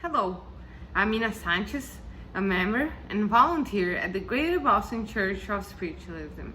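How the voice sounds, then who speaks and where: Guest speaker, woman, South American Spanish-Portuguese accent, earnest read talk, mid pitch, faint noise. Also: expressive, moderate pace, sharp tone, rough recording. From Argentina and Brazil. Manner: earnest, carefully read, reflective. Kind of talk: prepared personal talk.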